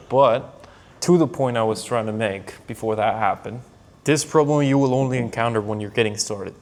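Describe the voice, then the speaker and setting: A young man with a Mexican Spanish accent and Spanish as his first language, giving a blunt, motivational talk to his camera while traveling. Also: mid-range voice. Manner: blunt, pep-talk delivery, calling the listener man and bro. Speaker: sales coach. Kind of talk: motivational vlog monologue. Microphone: handheld camera filming himself.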